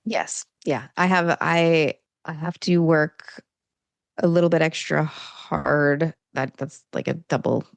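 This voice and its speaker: Female author-guest, low and gravelly, eager, list-like explanations. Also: fairly even intonation, takes it slowly, no echo. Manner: eager, affirming, explains in lists. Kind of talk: casual interview chat.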